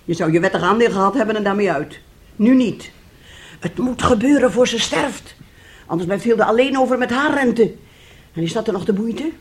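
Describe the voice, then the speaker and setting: An actress in a radio drama playing a scheming, impatient middle-aged wife who speaks in a pointed, needling way. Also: female voice, a little high, lively intonation, normal speed, close to an everyday voice. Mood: urgent, sharp. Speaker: actor.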